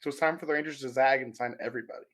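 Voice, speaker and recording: A man with a hoarse, gravelly voice from a sore throat, talking through baseball analysis as a podcast guest with dry humor. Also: mid-range voice, fairly even intonation, racing, fairly bright tone. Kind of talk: casual podcast chat.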